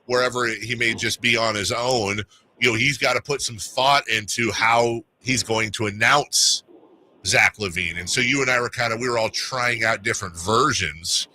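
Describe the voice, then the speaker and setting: A man's voice: medium pitch, moderate pace, sharp tone, slight background noise. A man hosting a sports radio show, bantering casually in a jokey, rambling way. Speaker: radio talk show host.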